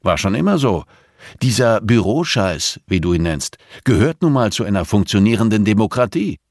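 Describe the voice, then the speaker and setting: A man's voice: lowish voice, big pitch swings, moderate pace, a little mellow, dry recording, clean studio sound. A man voicing an exasperated male police chief in an audiobook, firm, admonishing and weary. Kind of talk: audiobook character dialogue.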